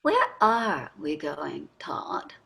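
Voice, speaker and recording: A woman's voice: low-pitched, sing-song, drawn-out words, creaky, sharp tone, exaggerated voice. An older woman playing a wealthy, weary and sardonic wife and mother in a staged play reading, pointed and dramatic in her delivery. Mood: wistful.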